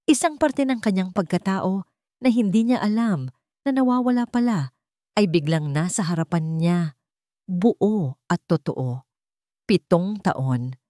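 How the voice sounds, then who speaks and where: A woman with a Filipino accent narrating a scripted dramatic story in a measured, solemn way. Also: medium pitch, lively intonation, mellow, no room sound, clean studio sound.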